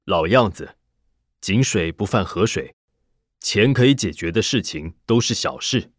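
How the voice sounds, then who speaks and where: A man voicing a smooth, self-assured male cram-school teacher who justifies himself quietly in an audiobook. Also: on the low side, animated, a little slow, no echo, studio quality. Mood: dismissive. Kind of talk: audiobook character dialogue.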